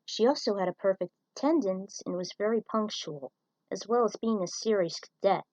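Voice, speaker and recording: A woman's voice: mid-range voice, brisk, darkish tone, dry recording, lo-fi sound. A woman reading a scripted story aloud as its narrator, with the odd stumble over a word. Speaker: narrator reading fan fiction.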